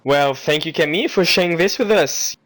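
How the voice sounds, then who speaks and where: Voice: mid-range voice, a little fast, a bit bright. A radio show host presenting from a script and asking interview questions.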